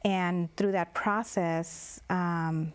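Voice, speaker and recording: A woman's voice: lowish voice, fairly even intonation, extremely slow, smooth. Hesitant, thoughtful woman guest. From Mexico. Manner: thoughtful, hesitant, many fillers and restarts. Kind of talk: public-access TV interview.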